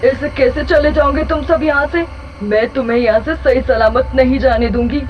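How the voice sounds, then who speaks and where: A woman's voice: high voice, fairly even intonation, normal speed, dull audio, slight background noise, lightly acted. A woman voicing a menacing witch spirit that haunts a house in a horror story. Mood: threatening. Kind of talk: Hindi horror story dramatization.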